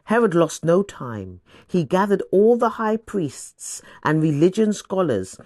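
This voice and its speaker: A woman reading scripture as scripted audiobook narration, with a deliberate, dramatic storytelling delivery. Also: on the low side, very animated, darkish tone, no echo, clean studio sound.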